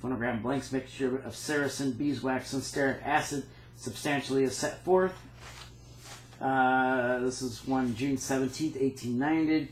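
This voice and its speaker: Man, halting, reads dates and patent text aloud, roomy echo, faint room hum. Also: mid-range voice, fairly even intonation. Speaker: phonograph cylinder maker. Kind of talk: casual show-and-tell walkthrough.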